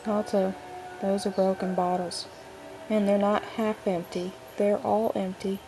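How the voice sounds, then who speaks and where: A woman voicing a gentle, coy young female dog in an amateur cartoon dub, speaking softly and with care. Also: medium pitch, little pitch movement, measured pace, natural voice. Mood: gentle, concerned. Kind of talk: amateur fandub of an animated film. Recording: poor home recording, music behind.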